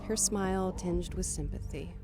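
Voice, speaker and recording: A woman's voice: on the low side, normal speed, loud music behind the voice, natural voice. An actress playing an anxious, grieving daughter who narrates her own ordeal earnestly in a horror audio drama. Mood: somber, uneasy. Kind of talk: dramatic first-person scripted narration.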